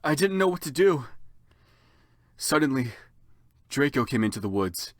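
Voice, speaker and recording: A man's voice: medium pitch, sing-song, a little slow, no echo, studio quality. A man narrating a story theatrically for comedy, with sardonic asides as commentator. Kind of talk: dramatic comic reading with dry asides.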